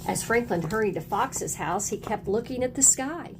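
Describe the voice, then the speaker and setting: A woman's voice: on the high side, lively intonation, normal speed, background noise. A woman teacher leads a read-aloud lesson for young children, encouraging them and prompting them with questions and repeats.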